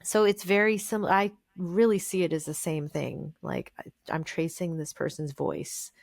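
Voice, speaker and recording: Low-voiced woman musing in a dry room. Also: steady tone, normal speed, creaky, no room sound. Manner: thoughtful, meandering, with many fillers and restarts. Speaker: guest musician. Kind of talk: casual interview conversation.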